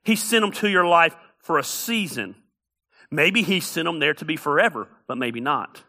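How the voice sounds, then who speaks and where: A man's voice: fairly high pitch, animated, moderate pace, bright, forceful tone. A middle-aged man preaching a sermon as pastor, emphatic and repeating key phrases for effect.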